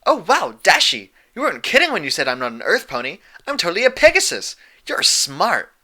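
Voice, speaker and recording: A man voicing a hyper, giddy young female cartoon pony who gushes excitedly in a fan story reading. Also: sits high, brisk, voice pushed up, exaggerated voice. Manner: excited, gushing.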